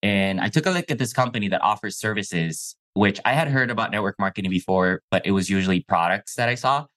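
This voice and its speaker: Laid-back low-voiced young man. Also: fast talker, fairly bright tone. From Mexico. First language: Chinese. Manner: casual, heavy on "like" and "bro", frequent restarts. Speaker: guest. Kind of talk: casual interview chat.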